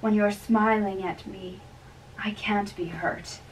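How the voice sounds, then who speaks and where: A woman acting a lovestruck, reckless young man in a halting, earnest amateur dramatic reading. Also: mid-range voice, steady tone, slight background noise, lightly acted. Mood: bold devotion. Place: small bedroom, indoors. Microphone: far camera. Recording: distant room microphone.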